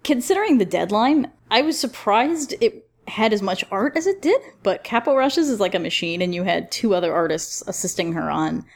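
A woman hosting a casual roundtable podcast, speaking in a chatty, encouraging way with clear enthusiasm. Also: mid-range voice, very animated, moderate pace, hardly any pauses. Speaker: podcast host.

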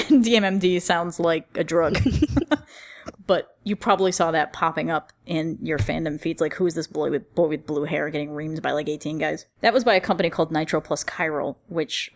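A woman in casual, opinionated roundtable chat, speaking in a wry, blunt, colloquial way. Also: sits low. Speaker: podcast panelist.

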